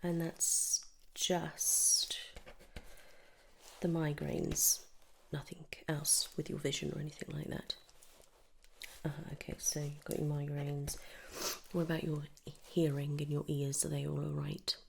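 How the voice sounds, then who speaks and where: Whispering, creaky British woman doing ASMR. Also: sits low, little pitch movement, a little hiss or hum. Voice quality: breathy whisper and hushed soft voice. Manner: gentle, unhurried, reassuring questioning. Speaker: ASMR performer playing a dental receptionist or dentist. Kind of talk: ASMR roleplay, whispered and soft-spoken.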